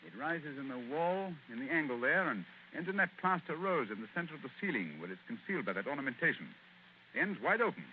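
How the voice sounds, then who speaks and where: An actor playing a brisk, confident detective with crisp, ironic delivery in a 1940s radio play. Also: male voice, on the high side, big pitch swings, a little hiss or hum. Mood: wry. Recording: tinny old radio broadcast.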